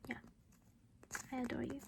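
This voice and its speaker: A woman whispering breathily and close to the microphone in a gentle, reassuring ASMR roleplay as a caring, protective vampire mother. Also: moderate pace, noisy background.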